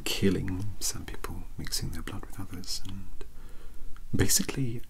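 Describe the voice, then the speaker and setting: A British man playing a younger, bookish and polite vampire-hunter mentor in a soft-spoken ASMR roleplay, hesitant, wordy and easily distracted. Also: sits low, unhurried, vocal fry, low-quality recording. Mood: earnest, instructive. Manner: hesitant, apologetic, wordy, scholarly.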